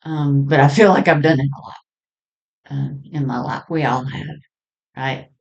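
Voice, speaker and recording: A woman speaking in an unscripted, reflective spiritual monologue, halting and trailing off mid-thought. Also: a bass voice, fairly even intonation, creaky, dull audio. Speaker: podcast host.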